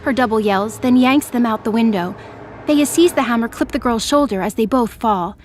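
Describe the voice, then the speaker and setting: Higher-voiced woman narrating a story. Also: normal speed, slight background noise. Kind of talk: scripted dramatic story narration.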